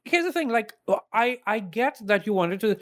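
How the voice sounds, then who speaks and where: A man's voice: sits high, expressive, moderate pace, no room sound, studio quality. A man hosting a casual panel chat, giving his opinions in an emphatic, exasperated, ranting way. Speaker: podcast host giving a ranked list.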